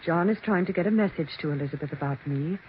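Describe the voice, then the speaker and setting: A muffled 1940s radio suspense recording carries an actress as a domineering American mother who claims to channel the dead. Her low female voice is stern and deliberate, issuing commands and ominous messages over background noise. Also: close to an everyday voice. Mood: stern, menacing.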